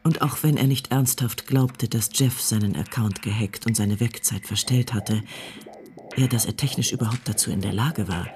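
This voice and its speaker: A man's voice: lowish voice, normal speed, a little mellow, faint music behind. A man narrating a scripted radio drama as its storyteller.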